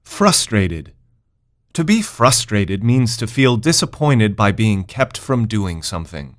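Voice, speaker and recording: A man narrating a scripted vocabulary lesson, deliberately stating words and their definitions in an instructional manner. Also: fairly low pitch, big pitch swings. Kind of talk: scripted educational narration, word then definition.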